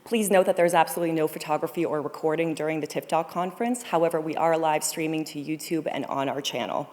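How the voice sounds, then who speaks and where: A woman's voice: low-pitched, fairly even intonation, fast, a little mellow. A woman hosting a conference, delivering a scripted welcome speech to open the event. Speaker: conference co-programmer, host introducing event. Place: large studio auditorium, on stage. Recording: echoey hall with long reverb.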